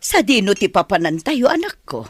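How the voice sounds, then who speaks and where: A woman's voice: medium pitch, sing-song, moderate pace, no room sound, clean studio sound. A woman acting a caring, motherly older woman in a serial audio drama. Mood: caring, curious. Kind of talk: Filipino radio-style drama dialogue.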